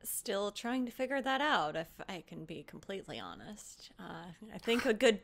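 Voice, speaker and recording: A woman's voice: medium pitch, big pitch swings, moderate pace, vocal fry. A woman playing a tabletop game, speaking casually in character.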